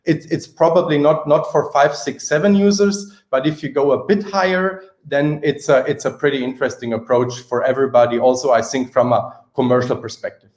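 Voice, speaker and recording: A man presenting a sales pitch in an emphatic, persuasive manner. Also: a little fast, hardly any pauses, darkish tone. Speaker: company presenter. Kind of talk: sales pitch and question answering. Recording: roomy, echoing sound.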